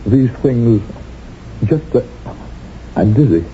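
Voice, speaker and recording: An actor playing a haunted former secret police colonel reborn as a gentle professor, speaking in halting, deliberate phrases in a radio drama. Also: male voice, mid-range voice, lively intonation, slight background noise. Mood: sickened, anxious. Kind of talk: 1970s radio drama acting with narration. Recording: dull 1970s radio recording.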